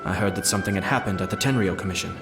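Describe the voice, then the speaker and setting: An actor playing a sly, composed man who speaks in a measured, formal, faintly amused way. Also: low-pitched, close to an everyday voice. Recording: roomy game voice-over with music.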